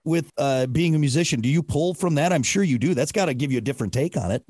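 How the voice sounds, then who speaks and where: A man's voice: on the high side, animated, quick pace, darkish tone, husky, no room sound, clean studio sound. A man hosting a music interview show, enthusiastic and hesitant, with fillers. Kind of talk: casual interview chat.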